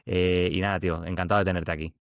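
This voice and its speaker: A man hosting an interview podcast, talking informally and warmly to a friend, with plenty of fillers. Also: deep voice, little pitch movement, fast, vocal fry, smooth, no room sound, studio quality. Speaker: podcast host. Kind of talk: casual conversational interview.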